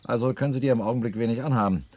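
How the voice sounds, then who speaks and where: An actor playing a frank, pessimistic lawyer in a radio play, lecturing in a matter-of-fact, bluntly discouraging way. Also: male voice, mid-range voice, very fast, a little mellow, natural voice. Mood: advising. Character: frank, pessimistic male lawyer advising a friend. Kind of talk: German radio play acting. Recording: radio play with noticeable background noise.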